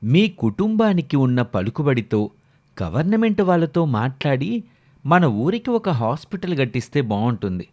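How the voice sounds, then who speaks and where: Man acting smooth, flattering village clinic man. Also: a little high, moderate pace. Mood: flattering, persuasive. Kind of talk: dramatised moral story.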